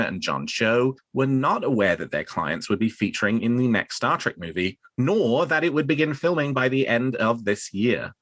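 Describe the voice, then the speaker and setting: A man's voice: medium pitch, a bit bright, no room sound. A man presenting news as a podcast host in an articulate, presenterly way, reading prepared copy and discussing it. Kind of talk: scripted news narration and discussion.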